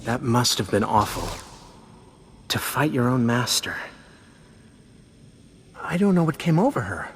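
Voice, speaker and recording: A man's voice: mid-range voice, unhurried, big-room sound, background noise. An actor playing an earnest, concerned male Jedi master who gives advice in a video game. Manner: earnest, expressive.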